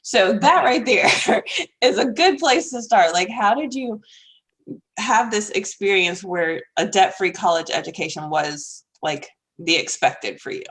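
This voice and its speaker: A woman's voice: mid-range voice, unhurried, a bit bright, slightly rough. A woman hosting a podcast, enthusiastic, laughing mid-sentence and saying "like" often. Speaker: podcast host. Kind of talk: casual interview chat.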